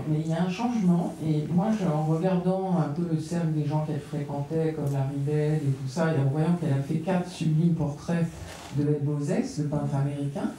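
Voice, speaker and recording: A woman's voice: deep voice, warm tone, slight background noise. A woman speaking as a panelist at a round-table discussion, talking in a hesitant way with many fillers and restarts. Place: gallery room. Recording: echoey room, far from mic.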